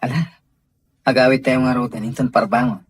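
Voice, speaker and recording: A man's voice: mid-range voice, sing-song, brisk, breathy edge. A man playing an easygoing, generous young employer in an Ilocano radio drama. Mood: agreeable.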